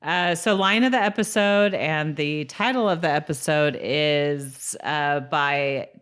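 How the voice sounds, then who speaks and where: A woman's voice: low voice, slow, a bit bright, clear, resonant tone. A woman co-hosting a podcast in casual conversation, easygoing and agreeable, often chiming in briefly. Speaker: podcast co-host. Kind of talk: casual rewatch podcast chat.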